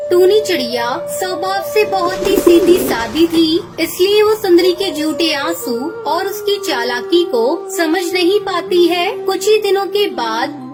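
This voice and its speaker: A woman narrating a children's cartoon story in a clear, measured way, with music behind. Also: extremely high pitch, expressive.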